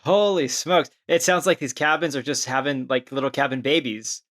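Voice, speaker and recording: A man's voice: medium pitch, normal speed, fairly bright tone. Enthusiastic man hosting a casual podcast. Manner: enthusiastic, informal slang. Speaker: podcast host.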